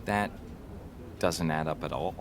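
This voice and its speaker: An actor playing a level-headed police detective, reasoning matter-of-factly in audio drama dialogue. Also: male voice, a bass voice, a little slow, background noise. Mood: analytical. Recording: noticeable background ambience.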